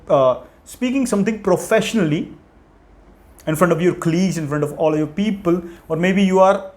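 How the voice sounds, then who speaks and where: A man's voice: high-pitched, a little mellow. Earnest young man. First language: Punjabi. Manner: thinking aloud with frequent restarts and self-corrections; earnest and motivational. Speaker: vlogger and English-learning challenge host. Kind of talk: unscripted casual talk to camera.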